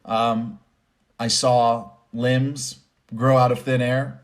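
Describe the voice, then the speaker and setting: A man's voice: mid-range voice, level tone, extremely slow. A man giving conversational motivational commentary with personal stories, stressing points by repeating phrases. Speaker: host and commentator.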